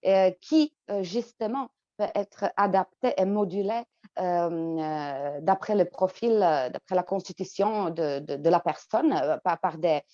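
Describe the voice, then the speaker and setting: A woman's voice: lowish voice, unhurried, warm tone, no echo, highs cut off. A woman from the Czech Republic with a Czech accent, answering interview questions in a hesitant way, full of fillers and repeated words. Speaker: guest.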